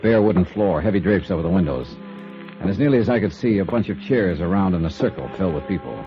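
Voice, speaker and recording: A man's voice: deep voice, animated, fast talker. An actor playing a wry, skeptical investigator narrating his case in dry 1950s radio noir style. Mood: wry, observant. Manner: dry, sardonic. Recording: dull vintage radio recording with music behind.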